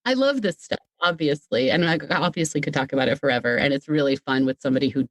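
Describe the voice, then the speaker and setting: Low-voiced woman chatting casually, dry sound. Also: fast, no room sound. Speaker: podcast guest, audio drama creator. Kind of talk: casual chat.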